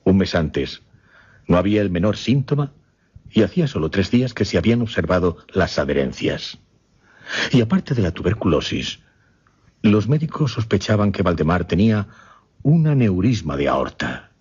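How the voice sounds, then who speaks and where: Un actor de radioteatro que interpreta a un solemne caballero hipnotizador que relata su experimento macabro, formal y con pausas dramáticas. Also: male voice, sits low, sing-song, a little mellow, husky, heavily acted. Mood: somber. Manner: deliberate, formal, dramatic pauses. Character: grave gentleman hypnotist recounting his macabre experiment. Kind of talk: radio drama acting, dialogue narration. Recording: slightly muffled, lo-fi audio.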